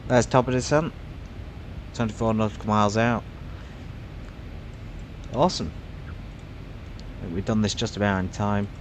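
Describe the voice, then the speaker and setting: A man's voice: on the low side, fairly even intonation, normal speed, pauses often, a little hiss or hum. A man giving casual, musing commentary while streaming a flight simulator, with frequent fillers.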